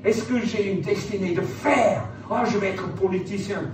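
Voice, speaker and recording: A man's voice: high voice, normal speed, roomy sound. Male preacher, informal motivational sermon, emphatic repetition, recorded from a distance with noticeable background noise. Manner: conversational, rhetorical questions, emphatic repetition.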